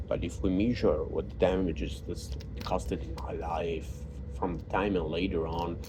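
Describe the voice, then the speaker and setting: A man with an Italian accent, a native Italian speaker, talking in a halting personal monologue full of fillers and restarts. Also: low-pitched, steady tone. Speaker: vlogger. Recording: noisy background.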